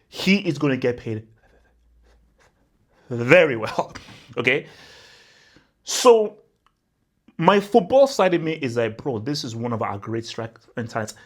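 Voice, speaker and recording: A young man from Nigeria talks football on his channel in a loose, excited vlog style. His voice sits at an ordinary middle pitch. He keeps hammering his points with "bro" and piles up exaggerations. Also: slow, pauses often. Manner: animated and emphatic, repeats "bro", spirals into exaggeration. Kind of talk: casual vlog commentary.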